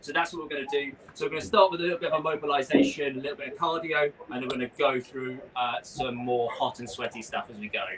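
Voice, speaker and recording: A man's voice: medium pitch, fairly even intonation, quick pace, few pauses, a bit bright. A man giving live exercise instructions in an upbeat, encouraging manner. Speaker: practitioner leading a warm-up class. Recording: noisy room sound, far from mic.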